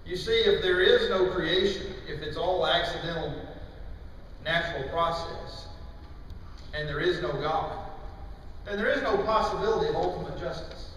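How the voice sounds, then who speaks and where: A middle-aged man preaching a sermon in a church, with emphatic, deliberate phrasing broken by pauses. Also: a little high, a bit bright. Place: large church auditorium, indoors. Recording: distant, very echoey hall with faint noise.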